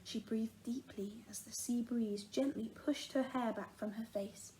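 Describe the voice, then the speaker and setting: A woman narrates a children's story in a fairly high voice. She reads expressively, like a teacher at storytime, and brings the dialogue to life. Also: measured pace, slight background noise, rough recording. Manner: animated, acts out the dialogue. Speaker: teacher reading a children's book aloud.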